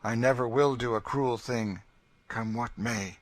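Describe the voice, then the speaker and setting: A man doing a gentle, devout, steadfast old servant. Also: medium pitch, measured pace, no room sound, voice pushed up, natural voice. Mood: earnest. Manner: calm, earnest, pious.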